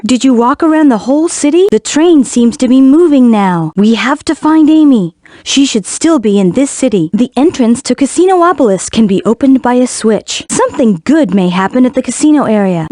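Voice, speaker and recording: A woman playing a gentle young female spirit guide in a video game, giving instructive, clearly enunciated hints. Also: high-pitched, no room sound, clean studio sound. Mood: wondering. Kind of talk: scripted video game hint lines.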